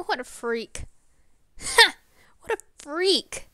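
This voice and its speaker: A woman playing a mean young wolf pup, loud, drawn-out and jeering, for a comic dub. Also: sits high, animated, no room sound, pitched above the performer's own voice, heavily acted. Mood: mocking.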